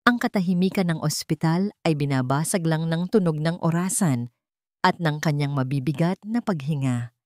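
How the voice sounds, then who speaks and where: A woman's voice: lowish voice, darkish tone, no room sound, studio quality. A woman storyteller reading a scripted romantic drama as narration.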